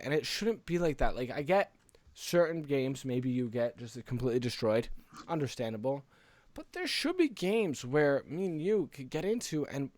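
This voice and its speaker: A man's voice: fairly high pitch, no room sound. Casual male podcast co-host, close dry sound. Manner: conversational, explanatory, opinionated. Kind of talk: casual podcast chat about gaming.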